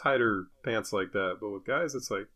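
A man's voice: on the low side, normal speed, sharp tone. A middle-aged man chatting casually as a podcast co-host, opinionated and given to incredulous rhetorical questions. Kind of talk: casual chat.

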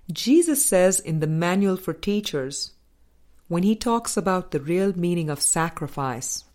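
Woman audiobook reader for spiritual text: lowish voice, very unhurried, deliberate phrasing. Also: a little mellow. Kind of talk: scripted spiritual book reading.

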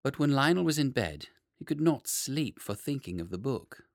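A man reading a children's story as an expressive audiobook narrator. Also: mid-range voice, moderate pace, gravelly, no echo, clean studio sound.

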